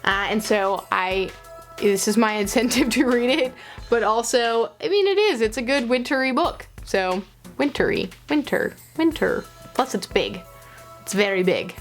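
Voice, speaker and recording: A woman's voice: a little high, big pitch swings, a little slow, soft background music. A young adult woman chatting casually to camera as a book vlog host, with informal emphasis and playful asides.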